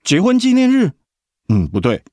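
Animated Taiwanese grandpa, dry studio. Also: male voice, high voice, normal speed, no echo. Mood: puzzled, admiring. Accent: Taiwanese Mandarin. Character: retired grandfather, doting practical good-humoured husband. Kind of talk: radio drama acting.

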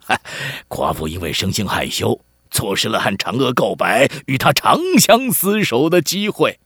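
A man's voice: mid-range voice, sing-song, moderate pace, a bit bright, slightly rough, dry recording, clean studio sound, heavily acted. A man with a Taiwanese accent playing a jolly, chatty middle-aged village chief who tells tall tales in a theatrical, teasing way for a children's radio drama. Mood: mock-solemn, playful. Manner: theatrical, teasing, stammering at times. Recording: music bed behind the voice.

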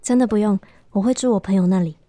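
A woman's voice: medium pitch, fast talker, warm tone, close to an everyday voice. A woman acting in a radio drama as a reserved woman on the phone, answering in a clipped, cool but polite way. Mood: cool, dismissive. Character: curt, reserved woman rebuffing a suitor by phone.

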